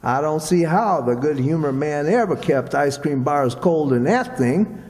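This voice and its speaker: A man playing an excitable, gullible shopkeeper in an old-time radio comedy, with a folksy, complaining drawl. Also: fairly high pitch, unhurried. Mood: whiny, complaining. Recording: echoey hall, long reverb.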